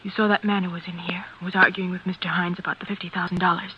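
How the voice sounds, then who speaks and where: Anxious young switchboard girl actress, American, medium-pitched; vintage radio drama with dull, slightly noisy sound. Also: level tone, racing, close to an everyday voice. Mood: frightened, confiding. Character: anxious, confiding young female office operator. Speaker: office switchboard operator. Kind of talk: 1940s radio acting. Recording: muffled, faintly noisy vintage radio recording.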